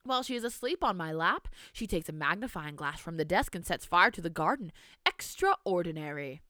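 A woman's voice: lowish voice, lively intonation, a little fast, sharp tone, dry recording, clean studio sound. A woman voicing a stern, weary grandmother in her later years, scolding a child.

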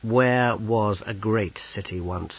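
A man acting a fiercely indignant old warrior, husky. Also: fairly low pitch, slow, dry recording, highs cut off, heavily acted. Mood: grimly resolute. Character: gruff, proud, stern old warrior general, disgusted, resolute.

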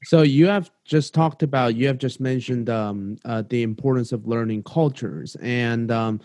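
A man from South Korea hosting a casual podcast interview, halting and full of ums and self-corrections. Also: mid-range voice, darkish tone, dry recording, studio quality. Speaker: podcast host.

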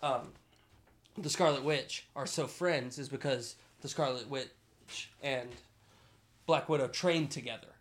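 A man's voice: medium pitch, unhurried, fairly bright tone. A man hosting a casual film discussion, speaking in an opinionated, emphatic way with plenty of swearing. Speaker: podcast host.

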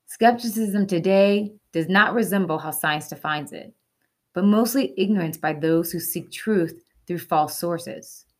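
A woman with a fairly low voice presents a short educational segment. She reads scripted narration in an earnest, instructive manner. Now and then she drops in a casual aside or a rhetorical question. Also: measured pace.

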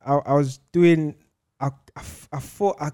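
A man's voice: fairly high pitch, fairly even intonation, unhurried, mellow, clear, resonant tone. A young man from Zambia, a musician guesting on a podcast, talking in a laid-back, slangy way with lots of fillers. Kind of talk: casual chat.

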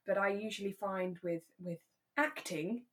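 A woman's voice: medium pitch, unhurried, a bit bright. Woman co-hosting a chatty podcast. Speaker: podcast co-host. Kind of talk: casual chat about a radio drama.

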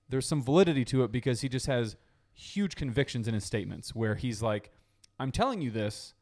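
A man's voice: medium pitch, a little mellow. A man in casual podcast chat, telling a long story with lots of fillers and restarts. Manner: rambling storytelling with many fillers.

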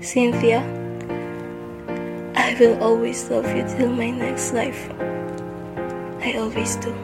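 A woman's voice: on the high side, monotone, vocal fry. A woman playing a sorrowful wife and mother who reads a solemn farewell in a radio drama. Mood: sorrowful, tender. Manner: slow, solemn, grieving delivery. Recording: music under the voice.

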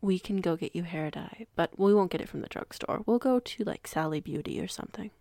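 A woman playing a composed, generous werewolf pack alpha in an audio roleplay, speaking in a measured, slightly formal manner. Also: fairly low pitch, dark tone, dry recording, clean studio sound, natural voice. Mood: reassuring.

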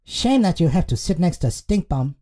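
Woman voicing a cheerful, animated young child. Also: lowish voice, normal speed. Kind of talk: children's storybook character voice.

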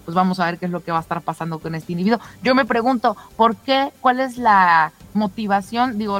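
A woman presenting the news in an informal, conversational way, somewhat halting and given to rhetorical questions. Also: mid-range voice, a bit bright.

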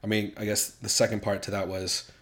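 Laid-back young man, low voice. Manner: relaxed, halting with many fillers and restarts. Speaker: podcast guest. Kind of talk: casual chat.

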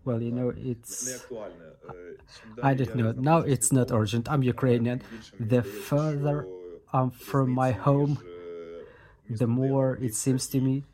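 Man, translated interview answers, measured delivery with restarts, mid-pitched, crowd chatter behind. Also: steady tone, poor audio. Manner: measured, with restarts and repeated phrases.

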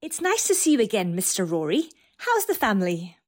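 A woman's voice: mid-range voice, huge pitch swings, a little fast. An actress playing a polite, curious female AI turned human in an audio drama, courteous and formal.

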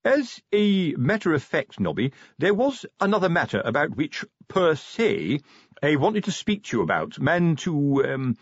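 A pompous older police sergeant, voiced by a male narrator, fatherly and prudish, with big swoops of pitch. He talks in hesitant, colloquial, self-important phrases, as in a comic storybook. The sound is slightly muffled and husky-free, with very little room. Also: huge pitch swings, normal speed, no room sound, full character voice. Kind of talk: audiobook character dialogue.

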